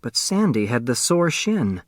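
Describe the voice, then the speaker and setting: A man's voice: mid-range voice, sing-song, a little slow, no echo, studio quality. A man reading a children's mystery novel as scripted narration. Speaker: audiobook narrator.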